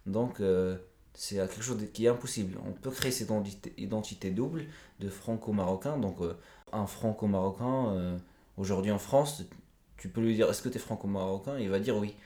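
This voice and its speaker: Male podcast guest, low and warm, earnest, thinks aloud at length, recorded in a roomy space. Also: moderate pace. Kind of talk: conversational interview.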